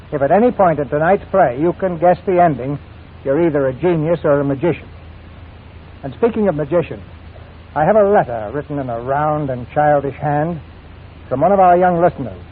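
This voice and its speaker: A man hosting and narrating a 1940s radio theater show in a formal, measured, showman-like scripted style. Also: fairly high pitch, husky. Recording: tinny 1940s radio recording.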